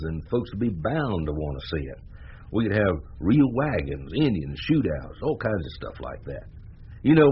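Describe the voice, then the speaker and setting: An actor playing a folksy older American ranch foreman, rambling and plain-spoken, in a 1980s radio drama. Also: low-pitched. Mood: enthusiastic. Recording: dull lo-fi radio recording with noise.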